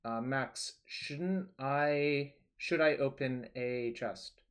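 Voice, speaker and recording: Young male streamer, relaxed, rambling, explains plays as he goes, mid-pitched voice. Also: drawn-out words, clean tone. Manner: relaxed, rambling, thinking aloud. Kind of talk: casual card-game stream commentary.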